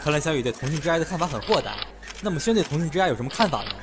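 A man playing a brisk reporter putting formal questions at a press event in an audio drama. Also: on the high side, big pitch swings, background noise. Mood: inquisitive.

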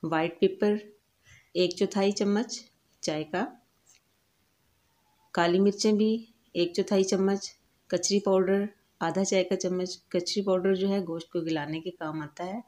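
A woman hosting a home cooking channel, calmly explaining each step of a recipe in a voice-over. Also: medium pitch, a little slow, long pauses. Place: home kitchen.

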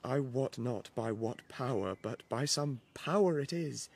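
Earnest, slow-spoken young lover. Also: male voice, medium pitch, animated, breathy edge. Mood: earnest, ardent. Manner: earnest, measured declaiming. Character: earnest lovestruck young nobleman. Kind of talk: British radio drama, Shakespearean verse.

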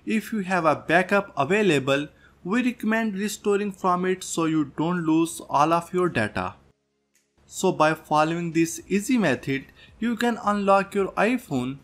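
A man's voice: sits high, expressive, normal speed, a bit bright. A man presenting a tech tutorial as a scripted voice-over, going through instructions step by step. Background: background music.

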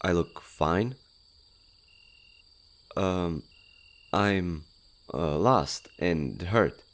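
A man's voice: deep voice, drawn-out words, heavily acted. A man playing a shy, nervous, friendly skinwalker in human disguise in a roleplay audio drama, hesitant and stammering. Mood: flustered, awkward.

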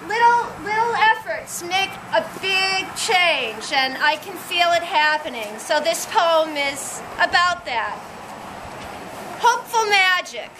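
High-voiced woman reading poems slowly. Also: expressive, background noise. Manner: slow, deliberate poetry reading. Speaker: poetry reader at a vigil. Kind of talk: reading her poems aloud. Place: outdoor gazebo at night.

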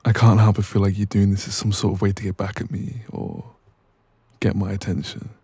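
A man playing a dominant, cocky young ex-boyfriend in an intimate audio roleplay, soft and breathy, smug and casual, close to the microphone. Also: on the low side, flat delivery, a little fast, dry recording. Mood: accusing, restrained.

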